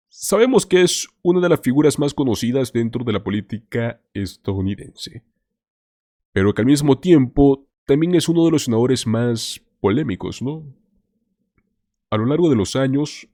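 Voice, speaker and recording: A man's voice: mid-range voice, mellow, no echo, clean studio sound. A man hosting a political commentary show, talking to viewers in an emphatic, scripted way. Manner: emphatic, addresses viewers as "señores".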